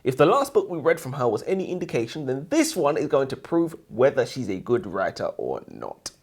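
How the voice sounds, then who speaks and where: Husky, very animated man chatting about books. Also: mid-range voice, fairly quick. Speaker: co-host of a book review show. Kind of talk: casual opinionated book review chat.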